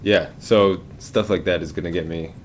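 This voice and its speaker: A man with a very low voice chats casually as a podcast host. There is a faint layer of room noise behind his speech. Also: steady tone, normal speed. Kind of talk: casual chat.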